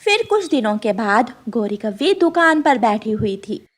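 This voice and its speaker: A woman's voice: a little high, very animated, moderate pace. A woman storyteller narrating a scripted children's story.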